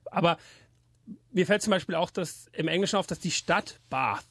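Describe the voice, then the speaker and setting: Man, podcast co-host, fairly high voice, playful questions, dry sound. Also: moderate pace, fairly bright tone, slightly rough, no echo. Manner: curious, asks playful questions. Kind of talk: casual podcast chat.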